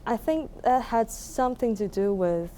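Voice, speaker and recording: Mellow young Taiwanese-accented woman. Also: fairly high pitch, normal speed, slight background noise. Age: young adult. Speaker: musician being interviewed. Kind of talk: casual interview answers.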